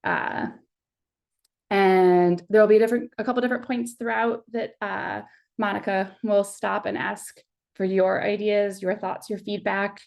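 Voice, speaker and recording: A woman's voice: mid-range voice, flat delivery, measured pace, vocal fry, a little mellow. A woman hosting an online community meeting on a video call, talking casually with many fillers. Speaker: webinar host.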